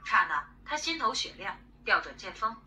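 A woman's voice: mid-range voice, very animated, moderate pace, pushed and bright. A woman reading a story aloud in a dramatic audiobook style. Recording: distant playback with faint noise.

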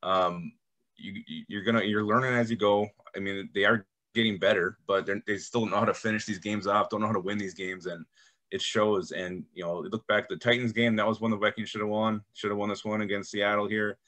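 A man's voice: on the low side, fairly even intonation, fairly quick, sharp tone. A man co-hosting a casual postgame sports show from home over a video call, frank with wry jokes and a habit of repeating himself. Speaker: co-host of a sports fan show. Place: home room.

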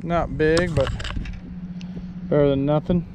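A man vlogging while he fishes from a pier at night, chatty and excited, talking to a body-mounted camera. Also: on the high side, slow. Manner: excited, chatty, swears mildly. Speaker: fishing vlogger. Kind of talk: casual narration while fishing. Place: outdoor fishing pier at night. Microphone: body-mounted action camera. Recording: noisy outdoor camera audio.

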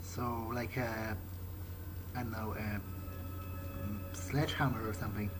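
A man's voice: fairly low pitch, flat delivery, measured pace. A man role-playing his character in a video game playthrough, thinking aloud in a hesitant, musing way. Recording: loud game music behind voice. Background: game music.

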